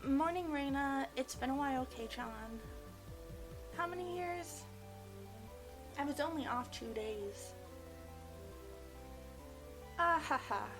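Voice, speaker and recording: A woman's voice: sits high, expressive, slow, long pauses, over music, voice pushed up. A woman voicing a brash, tomboyish teenage class leader in a visual novel, cocky and teasing.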